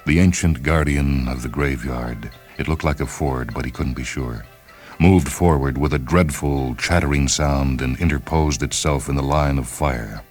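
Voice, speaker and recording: A male storyteller with an exceptionally deep, bottom-register voice delivers scripted dramatic narration. Faint music plays beneath his reading. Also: moderate pace.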